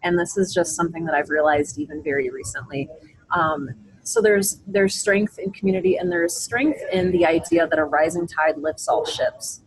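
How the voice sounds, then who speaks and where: A woman with a slightly low voice speaks as a guest in an interview. Her delivery is earnest and emphatic, with a motivational bent, and she restates key phrases to drive them home. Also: big pitch swings, normal speed, slightly rough, poor audio. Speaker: interview guest, podcaster and mentor.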